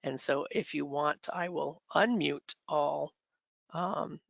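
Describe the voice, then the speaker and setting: Gravelly-voiced man moderating a webinar. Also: on the high side, takes it slowly, fairly bright tone, no room sound. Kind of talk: casual webinar hosting. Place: online video meeting. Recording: dull, dry online-call audio.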